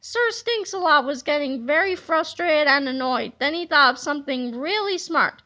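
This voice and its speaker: Bright-voiced woman reading a kids' book. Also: sits high, moderate pace. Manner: lively expressive narration. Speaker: reader. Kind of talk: children's book read-aloud.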